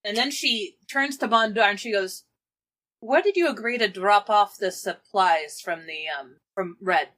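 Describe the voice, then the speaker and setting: A woman's voice: medium pitch, unhurried, fairly bright tone. A woman playing a tabletop role-playing game, casually narrating and speaking for her character. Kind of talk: casual improvised role-play.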